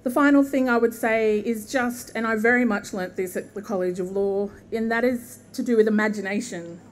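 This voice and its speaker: A middle-aged Australian woman from Queensland, a constitutional lawyer, giving an earnest, measured commencement speech at a podium microphone outdoors. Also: on the high side, fairly even intonation, a little hiss or hum. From southeast Queensland, Australia. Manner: earnest, measured, with frequent ums and gestures. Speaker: guest speaker, constitutional lawyer. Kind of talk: commencement address. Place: outdoor university courtyard.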